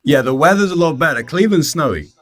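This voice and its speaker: A man's voice: on the high side, expressive, fast, no room sound. A man of about thirty with a southern English accent, chatting informally and self-deprecatingly as an interview guest. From England, near Oxford. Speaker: guest, student. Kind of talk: casual personal chat.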